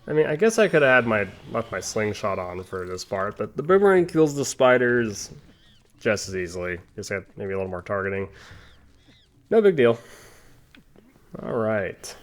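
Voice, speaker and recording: A man giving casual, rambling commentary over a video game playthrough, chatting with playful asides. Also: medium pitch. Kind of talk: casual gameplay commentary with tangents.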